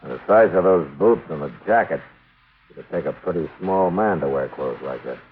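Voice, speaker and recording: An actor with an American accent playing a steady, dry, no-nonsense frontier marshal in an old radio western. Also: male voice, low-pitched, normal speed, husky, phone-quality sound. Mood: businesslike. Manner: terse, dry, probing. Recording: thin, noisy old radio recording.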